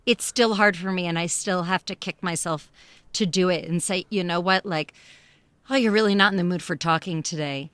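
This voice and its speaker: A woman giving animated, emphatic, encouraging advice in conversation, often acting out little bits of quoted dialogue. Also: lowish voice, normal speed, no room sound. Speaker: podcast guest, expat living in Spain.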